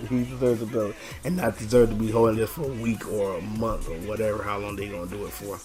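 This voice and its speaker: Hoarse guy rambling on his channel, mid-pitch, stops and restarts a lot, faint music behind. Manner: rambling, halting, many restarts and fillers. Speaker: channel host. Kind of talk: casual reaction video.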